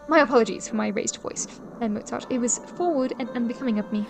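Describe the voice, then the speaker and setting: A woman playing a kind, devoted young gentlewoman in a period audio drama, speaking with earnest, polite formality. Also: on the high side, fast talker, creaky, soft background music. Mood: apologetic, humble. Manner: polite, formal, earnest old-fashioned speech.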